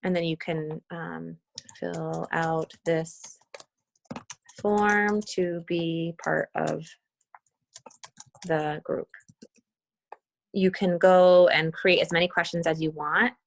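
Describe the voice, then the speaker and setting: Creaky-voiced woman giving a casual tutorial. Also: fairly low pitch, flat delivery, takes it slowly, long pauses, slight background noise, low-quality recording. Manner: casual, thinking aloud while demonstrating. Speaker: team leader giving a tutorial. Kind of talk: informal screen-share walkthrough on a group call. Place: video call with screen share.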